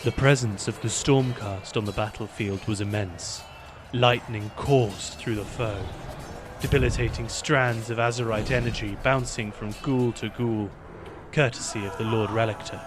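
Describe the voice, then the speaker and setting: A man narrating a fantasy battle in measured, scripted storytelling for a fan audio drama. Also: fairly low pitch, steady tone, background noise.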